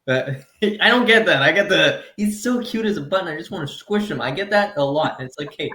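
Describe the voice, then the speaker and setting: A man in his thirties co-hosting a comedy podcast, ranting in animated, profane casual chat and laughing as he talks. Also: high-pitched, brisk, reverberant. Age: 30s.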